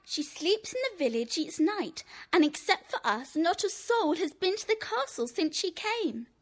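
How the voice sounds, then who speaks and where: Smug bratty little sister, high voice swooping about, teasing, kids audio drama. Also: female voice, sing-song, moderate pace, exaggerated voice. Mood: defiant, smug. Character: cheeky, stubborn young girl, smug little sister. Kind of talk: children's audio drama acting.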